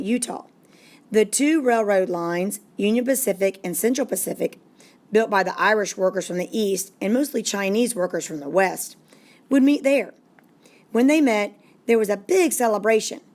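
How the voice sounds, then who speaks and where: A woman reading a story aloud as a narrator, in a deliberate, dramatic storytelling manner. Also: mid-range voice, darkish tone. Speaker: storybook reader. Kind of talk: expressive read-aloud narration.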